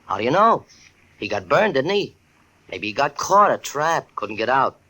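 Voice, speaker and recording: An actor playing a sly, needling pool-room hustler in slangy, taunting radio suspense style. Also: male voice, mid-range voice, big pitch swings, normal speed, pushed and bright, full character voice, poor audio. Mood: probing.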